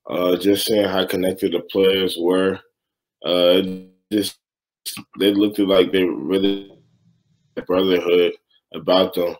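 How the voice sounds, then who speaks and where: A young man, a freshman basketball player, giving plain, soft-spoken interview answers. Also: sits low, poor audio. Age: young adult.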